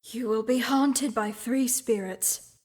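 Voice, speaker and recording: An actor voicing the mournful ghost of an old businessman bound in chains, in a student radio drama, speaking solemnly and dropping into breathy whispers. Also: extremely high pitch, slow, darkish tone, full character voice.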